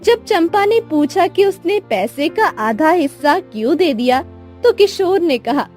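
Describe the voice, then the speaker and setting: High-pitched woman storyteller, very slow. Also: sing-song, a little mellow. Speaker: storyteller narrator. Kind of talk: scripted moral story narration. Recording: music behind the voice.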